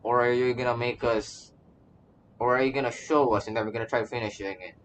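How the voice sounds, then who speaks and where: Rambling male game streamer, mid-pitched. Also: fairly even intonation, brisk, fairly bright tone, slight background noise. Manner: rambling, stammering, repeats phrases. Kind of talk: casual live reaction commentary. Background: game music.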